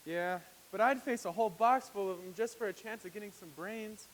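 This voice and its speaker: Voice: medium pitch, brisk, in a large hall, slight background noise, close to an everyday voice, poor audio. An actor playing an eager, folksy straw scarecrow in a musical with songs. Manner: folksy drawl, playful. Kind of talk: musical stage acting with singing.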